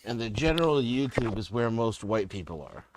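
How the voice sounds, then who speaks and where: A man speaking as a guest on a livestream, in a flat, matter-of-fact way. His voice sits in a middle pitch, with faint noise underneath. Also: moderate pace, low-quality recording. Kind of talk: casual livestream chat.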